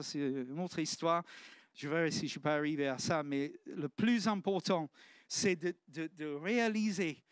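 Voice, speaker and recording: A man's voice: sits high, a bit bright, smooth, dry recording, studio quality. A man, preaching a sermon in church with earnest, exclamatory restarts. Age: 50s.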